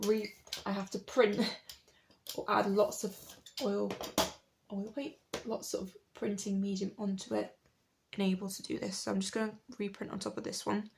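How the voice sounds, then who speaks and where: A woman in her late twenties, croaky from a cold, chatting in a rambling, self-correcting way as she talks through her printmaking. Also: mid-range voice, a little hiss or hum. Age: late 20s. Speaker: artist and printmaker. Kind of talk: casual chat over studio work.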